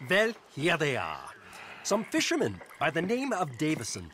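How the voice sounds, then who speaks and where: A prim, fussy bookkeeper. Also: male voice, on the high side, huge pitch swings, moderate pace, a bit bright, slight background noise. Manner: formal, precise, hesitant fillers. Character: prim, fussy older male money-lender clerk. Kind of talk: video game cutscene acting.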